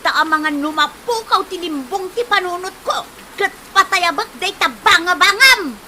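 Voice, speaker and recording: A woman's voice: very high voice, lively intonation, moderate pace, pushed and bright, a little hiss or hum, full character voice. A woman acting a vain, irritable woman in an Ilocano comedy drama, with an Ilocano accent and a wailing, snappish way of complaining. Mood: irritated, threatening. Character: vain, irritable woman hurt falling in high heels.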